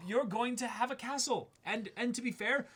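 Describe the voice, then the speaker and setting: A man's voice: very high-pitched, moderate pace, fairly bright tone, breathy edge. A man co-hosting a casual unscripted discussion, talking in a wry, mock-serious way. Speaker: co-host of a reaction show.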